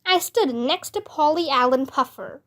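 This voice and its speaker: A woman reading a children's book aloud as narrator, with expressive, deliberate delivery. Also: sits high. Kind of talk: scripted storybook narration in a child's first-person voice.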